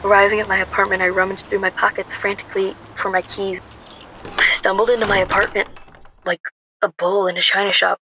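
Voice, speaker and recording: A woman's voice: mid-range voice, flat delivery, brisk, bright, forceful tone, slight background noise, poor audio. A woman playing a frightened but determined young woman on the run in a first-person radio drama. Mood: hurried.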